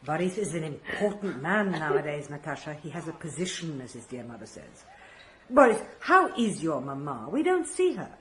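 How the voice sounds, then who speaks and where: Expressive, firm aristocratic mother. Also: deep voice, moderate pace, slight background noise. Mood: gracious. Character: firm, practical aristocratic mother, gracious but reproving. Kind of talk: radio drama acting.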